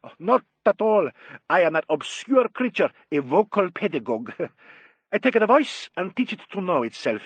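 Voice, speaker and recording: A man's voice: high-pitched, big pitch swings, moderate pace, breathy edge, dry recording, lo-fi sound, pitched above the performer's own voice, heavily acted. A man voicing a worldly Italian singing teacher in an audiobook, with an Italian accent and a courtly, theatrical, dryly mocking manner. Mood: dry, amused. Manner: courtly, theatrical, dry, formal phrasing. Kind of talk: audiobook character dialogue.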